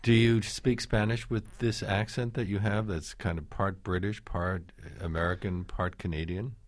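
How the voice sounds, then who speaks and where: A man hosting a radio interview, asking questions in a calm, inquisitive way with slow pauses. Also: sits low, no echo.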